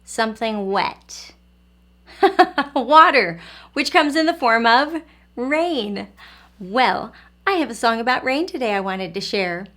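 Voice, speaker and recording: A woman's voice: a little high, expressive, slow, bright, forceful tone. A woman reading a children's picture book aloud as a teacher, expressively and addressing young listeners directly.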